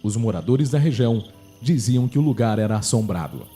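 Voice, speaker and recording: A man's voice: medium pitch, lively intonation, brisk, mellow. A man with a Brazilian accent narrating a scripted horror story for radio drama. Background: faint music.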